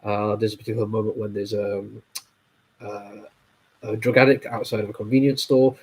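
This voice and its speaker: Man, head cold, nasal and husky, rambling casual comic review. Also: lowish voice, level tone, moderate pace, a little mellow. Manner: rambling, with many fillers and apologies. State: stuffy nose from a cold. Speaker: comic book reviewer and host.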